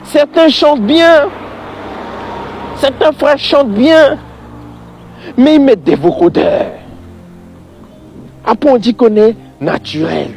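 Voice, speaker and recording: A man's voice: very high-pitched, lively intonation, slow, creaky, long pauses, a little mellow, faint music behind. A man giving an informal religious opinion monologue, exasperated and emphatic, repeating points and addressing the listener directly.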